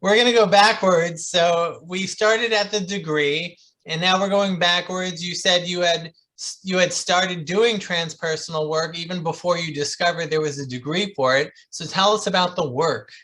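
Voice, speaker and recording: A man's voice: high-pitched, steady tone, moderate pace, few pauses, sharp tone, rough recording. A man hosting a casual interview, steering the conversation with prompts and questions. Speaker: interviewer, host.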